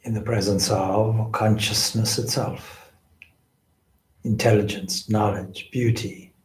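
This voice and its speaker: A man leading a guided meditation as a spiritual teacher, with deliberate, measured phrasing and pauses. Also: on the low side, fairly even intonation, darkish tone, a little hiss or hum. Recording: echoey room.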